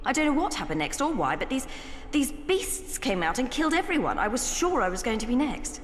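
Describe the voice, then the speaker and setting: A woman's voice: high voice, very animated, fairly quick, a little hiss or hum. A woman voicing a shaken, grateful envoy in a video game, speaking politely and formally in a reverberant space. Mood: relieved, shaken. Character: shaken, grateful rescued female council envoy. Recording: long echoing hall reverb. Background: music.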